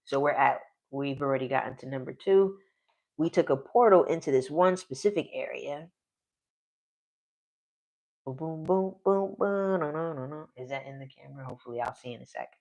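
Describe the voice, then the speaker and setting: A man's voice: a little high, moderate pace, gravelly, darkish tone. A man in his forties casually narrating his solo tabletop game on a live stream, rambling, trailing off mid-sentence and laughing often. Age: 40s.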